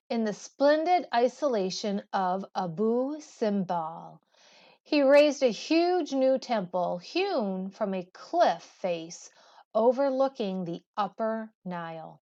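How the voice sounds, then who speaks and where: A woman teacher reading a history textbook aloud in a measured, deliberate way. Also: a little high, darkish tone, muffled recording. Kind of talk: educational read-aloud of a history textbook with brief explanatory asides.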